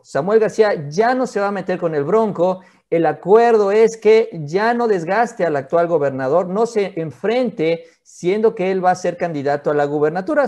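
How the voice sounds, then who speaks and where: A man's voice: high voice, a little mellow. A Mexican man in his forties giving conversational political commentary in an explanatory, roundabout way, recorded in a small office. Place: small home office, indoors.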